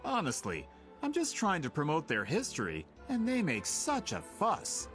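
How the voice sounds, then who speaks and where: A man's voice: on the high side, huge pitch swings, normal speed, music in the background. An actor playing a self-assured, somewhat impatient author in a video game, pushing to get what he wants. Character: pushy male author chasing a story.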